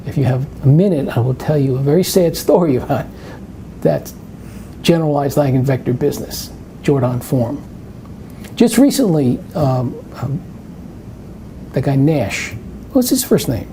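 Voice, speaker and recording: Older New York man, mellow and a bit faint hiss behind; math presenter given to rambling anecdotes. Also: mid-range voice, expressive, a little slow, pauses often. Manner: rambling, anecdotal, self-interrupting. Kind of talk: live webinar Q&A.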